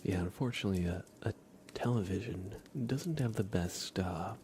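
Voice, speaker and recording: A man's voice: sits low, moderate pace, a little mellow, a little hiss or hum. A man hosting an ASMR livestream in a whispered, casual and hesitant manner, chatting and commentating. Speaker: live streamer, host.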